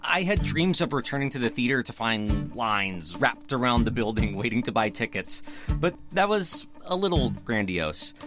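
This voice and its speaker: Eager young theater director, lively pitch; male actor, music bed. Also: moderate pace, lightly acted. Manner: upbeat, eager. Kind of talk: audio drama narration and dialogue.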